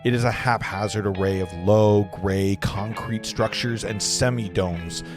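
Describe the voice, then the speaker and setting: A man's voice: lowish voice, unhurried, music in the background. A man reading screenplay scene directions aloud as the narrator of a table read.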